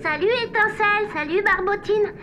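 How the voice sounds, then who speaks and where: A woman's voice: very high-pitched, normal speed, sharp tone, lo-fi sound, soft background music. A woman voicing a cheerful, helpful little wooden puppet boy in a French children's cartoon. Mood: cheerful, encouraging.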